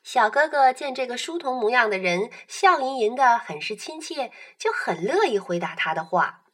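A woman narrating a children's radio play as a storyteller, in an animated style. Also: fairly high pitch, moderate pace.

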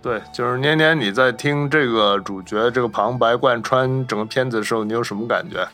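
Mid-pitched male host with music underneath. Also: brisk, sharp tone. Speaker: podcast host. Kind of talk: casual film and history discussion.

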